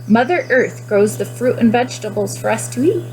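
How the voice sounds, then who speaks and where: A woman's voice: fairly high pitch, animated, normal speed, darkish tone, pitched above the performer's own voice, lightly acted, poor audio. A woman voicing eager young village children in a storybook, giving simple, keen answers.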